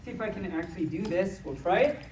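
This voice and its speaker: A woman's voice: mid-range voice, fast talker, a little mellow, a little hiss or hum. A woman entertaining an audience at an informal live storytime, talking in a playful, cutesy, self-deprecating way. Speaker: storytime performer. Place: echoey convention room with small audience. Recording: roomy, distant camera mic, audience around.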